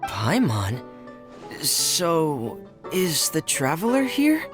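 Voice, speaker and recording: A man's voice: a little high, huge pitch swings, extremely slow, over music, exaggerated voice. An actor playing a shy, reserved teenage boy in a video game, hesitant and earnest.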